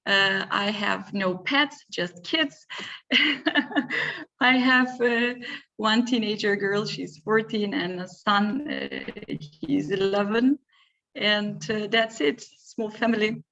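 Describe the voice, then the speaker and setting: Teacher in her 40s, speaks halting, friendly English with frequent laughs. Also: mid-range voice, pushed and bright, muffled recording. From North Macedonia. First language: Turkish. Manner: laughs often, halting non-native phrasing. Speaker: teacher guest. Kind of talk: casual interview.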